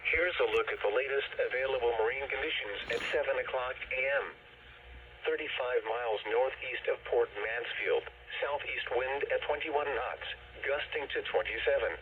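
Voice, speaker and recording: A man's voice: mid-range voice, moderate pace. A synthetic-sounding male announcer reads a scripted weather bulletin over the radio in formal, impersonal, clipped phrases. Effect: radio broadcast. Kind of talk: scripted weather forecast and marine bulletin readout. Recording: narrow tinny radio band with static.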